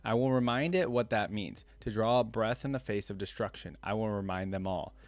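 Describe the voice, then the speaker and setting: Low-pitched man, close dry sound. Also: a little fast, dry recording. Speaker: reaction video host. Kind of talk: reading a story aloud with casual asides.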